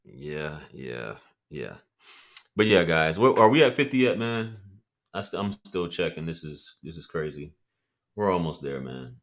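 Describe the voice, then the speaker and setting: A man with a deep voice hosting a casual livestream, reading chat comments and talking loosely with profanity and laughing asides. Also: very animated, unhurried.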